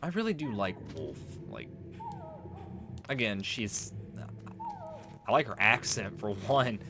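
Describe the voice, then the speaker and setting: Low-pitched man rambling through a game. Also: expressive, slow. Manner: rambling, tangent-prone, explanatory. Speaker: let's player, video game commentator. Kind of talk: casual let's play commentary. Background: video game music.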